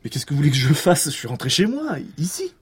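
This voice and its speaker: An actor playing a flustered, chatty eccentric suspect in a French audio drama, stammering and rambling with a French accent. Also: male voice, fairly high pitch, wildly swooping pitch, fast talker, husky, heavily acted. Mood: nervous, hesitant.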